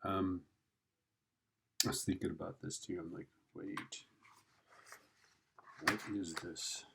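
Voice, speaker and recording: A man's voice: sits low, level tone, slow pace, vocal fry, very noisy. A man giving an informal physics lesson, thinking aloud as he works through a problem and often checking in with the listener. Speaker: physics teacher.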